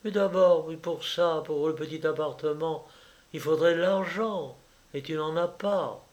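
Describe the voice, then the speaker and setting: A man reading aloud and voicing a capricious, tearful young woman who coaxes her lover for money, in a slow storybook reading. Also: fairly high pitch, darkish tone, pitched above the performer's own voice, close to an everyday voice. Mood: wheedling. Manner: pleading, wheedling, sobbing.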